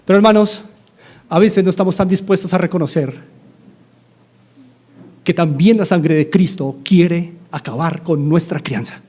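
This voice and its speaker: A man's voice: a little high, unhurried, pauses often, dark tone, husky. A middle-aged man with a Colombian accent, preaching a sermon as a pastor, earnestly repeating his exhortations. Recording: studio-like radio sermon.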